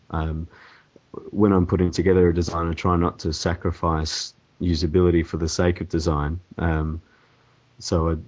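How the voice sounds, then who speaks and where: A man with a cold and a croaky, hoarse voice, answering interview questions hesitantly with many ums and restarts. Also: sits low, a little mellow. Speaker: template developer guest. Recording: dull lo-fi audio.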